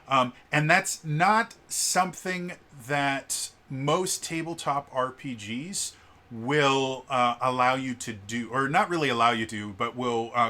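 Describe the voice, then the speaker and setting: Deep-voiced young man, bright edge, casual reviewer who stammers and fills with "um". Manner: stuttering restarts on words, many fillers. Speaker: tabletop RPG reviewer.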